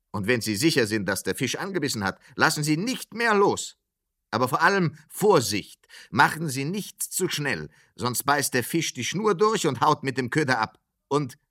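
An actor dubbing a police commissioner who shouts into a bad phone line, giving orders. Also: male voice, mid-range voice, exaggerated voice. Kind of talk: film dub.

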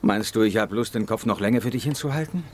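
A man's voice: fairly low pitch, fast talker, a little hiss or hum, lightly acted. A man playing a hard, dismissive businessman in a German radio crime drama, blunt and cold. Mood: cold, scornful.

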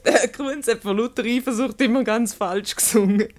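An actress playing a scheming wife who teases and needles with mock innocence in a radio comedy, speaking with a Swiss German accent. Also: female voice, on the high side, normal speed, darkish tone, lightly acted. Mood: teasing, amused. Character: teasing, scheming wife needling her husband.

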